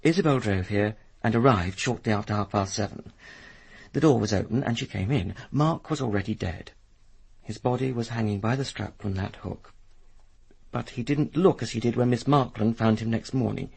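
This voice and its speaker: Gravelly, sardonic posh young Englishman. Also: fairly low pitch, moderate pace, darkish tone. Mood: matter-of-fact. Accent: upper-class English. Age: young adult. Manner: sardonic, articulate, dismissive. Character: Hugo, sardonic, impatient upper-class young man. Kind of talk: British radio drama acting.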